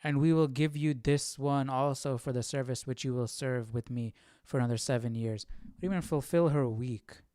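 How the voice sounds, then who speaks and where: A man's voice: mid-range voice, moderate pace, dark tone, smooth, no room sound. A man hosting an informal livestream study, reading aloud and musing as he comments into a close microphone. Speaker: livestream host. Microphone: close microphone with windscreen.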